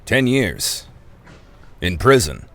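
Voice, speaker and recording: Aging ex-convict thief, low voice, lively swooping intonation, skeptical, slangy, audio play. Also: male voice, slow, exaggerated voice. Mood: wry, skeptical. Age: 70s. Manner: hesitant, slangy, wry. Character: world-weary 75-year-old male ex-convict thief, folksy, skeptical. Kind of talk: audio drama acting.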